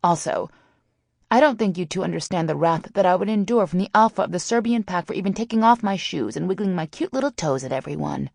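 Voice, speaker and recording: Woman playing a brash, creaky-voiced teenage girl. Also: fairly low pitch, expressive, quick pace, a little mellow, no room sound, clean studio sound, voice pushed up. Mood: mock indignant. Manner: wordy, teasing, mock-haughty. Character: brash, sarcastic, mischievous Southern teenage girl.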